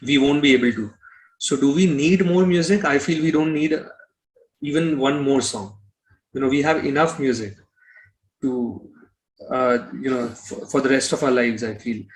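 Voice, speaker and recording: A man's voice: mid-range voice, fairly even intonation, normal speed, low-quality recording. A man speaking as an interview guest, blunt and opinionated, often repeating himself. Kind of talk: conversational interview.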